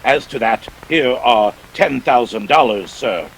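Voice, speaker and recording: An actor playing a jovial but menacing older crime boss in a radio drama, florid and genteel with a gravelly, husky voice. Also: male voice, mid-range voice, expressive, a little slow, poor audio. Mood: jovial, smooth. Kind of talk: 1940s radio drama acting.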